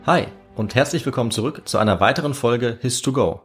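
A man's voice: mid-range voice, brisk, a bit bright, breathy edge, faint music behind. A man hosting a podcast in a casual, chatty style. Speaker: podcast host.

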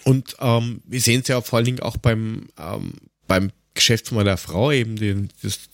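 A man's voice: fairly low pitch, fairly even intonation, normal speed, creaky, no echo, clean studio sound. A man with an Austrian accent telling anecdotes in a casual chat as a podcast co-host, blunt, colloquial and grumbling.

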